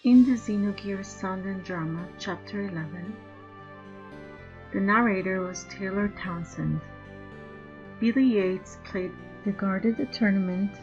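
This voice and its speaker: A woman reading out the credits of a fan radio play in a slow, deliberate manner. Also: medium pitch, darkish tone. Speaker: credits reader. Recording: dull lo-fi recording with music.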